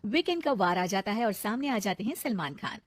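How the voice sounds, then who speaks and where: A woman's voice: medium pitch, lively intonation, brisk, no echo. A woman narrating a scripted comic cartoon story.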